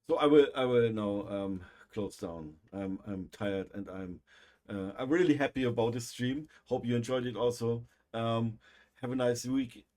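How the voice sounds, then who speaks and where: A man with a German accent, a native German speaker, hosting a livestream and chatting casually, with a halting delivery full of pauses and fillers. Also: medium pitch, mellow. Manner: halting, many fillers and restarts. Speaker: livestream host.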